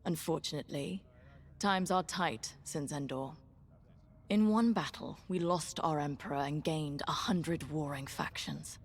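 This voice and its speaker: A woman playing an aloof, well-born Imperial pilot in a video game, speaking in a measured and formal way. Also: sits low, slight background noise. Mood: aloof, guarded. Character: haughty, well-born female Imperial pilot, politically minded loyalist. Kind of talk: video game dialogue, dramatic acting.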